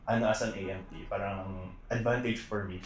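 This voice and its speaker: A man's voice: fairly low pitch, steady tone, normal speed. A young man with a Filipino accent chatting casually as a podcast guest, mixing in English phrases. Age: young adult. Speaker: podcast guest, air traffic controller. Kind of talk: casual podcast chat, code-switching. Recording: echoey room, faint background noise.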